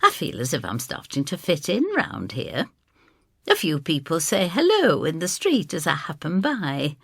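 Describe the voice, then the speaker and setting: A motherly landlady, played by a woman, narrates an audio story. Her voice is low, creaky and very expressive in pitch. She is homely, wry and confiding in how she tells it. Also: moderate pace, no echo, clean studio sound, full character voice. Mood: cosy, confiding. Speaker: first-person narrator. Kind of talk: dramatised scripted storytelling.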